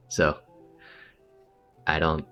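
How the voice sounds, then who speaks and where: A man's voice: extremely slow, pushed and bright, faint music behind. A man giving casual, chatty livestream game commentary, full of slang and swearing. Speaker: game streamer.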